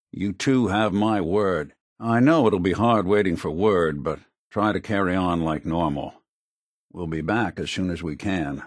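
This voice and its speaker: A man's voice: deep voice, dry recording, studio quality. An American man playing a world-weary, wry synthetic detective in a video game, speaking like a hardboiled gumshoe. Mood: reassuring, earnest. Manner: wry, streetwise, plain-spoken. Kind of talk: video game companion dialogue, hardboiled noir acting.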